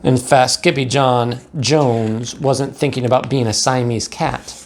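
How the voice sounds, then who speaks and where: A man's voice: mid-range voice, steady tone, measured pace, slight background noise. American man reading aloud to young children. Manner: simple, direct address to young children. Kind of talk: children's storybook read-aloud.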